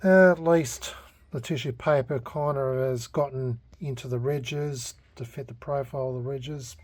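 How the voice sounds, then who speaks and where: A man's voice: medium pitch, fairly even intonation, moderate pace, a little mellow, dry recording. A man with an Australian accent talking casually and unscripted in a hobby restoration vlog, hesitant, laid-back and self-deprecating. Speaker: vlogger.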